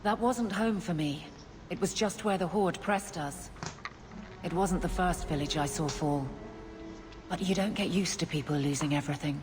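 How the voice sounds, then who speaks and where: A woman's voice: on the low side, normal speed, background noise, natural voice. An actress playing a blunt, dutiful, world-weary city guardswoman in a video game, plain-spoken and dry. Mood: reflective, weary.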